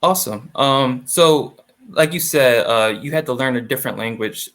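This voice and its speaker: A man's voice: medium pitch, normal speed, fairly bright tone. A young adult man interviewing a guest, reading a scripted introduction and then asking friendly questions with casual fillers. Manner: friendly, uses fillers like "awesome" and "like". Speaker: interviewer, associate producer.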